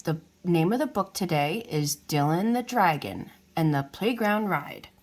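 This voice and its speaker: A woman reading a children's storybook aloud in an unhurried way, as if to young listeners. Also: lowish voice, expressive. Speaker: children's book reader. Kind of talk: read-aloud storybook narration.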